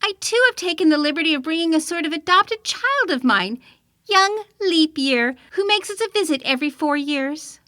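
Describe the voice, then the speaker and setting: A woman's voice: high-pitched, animated, normal speed, sharp tone, no room sound, clean studio sound, voice pushed up. A woman voicing a genteel storybook lady poet with a simpering, affected manner.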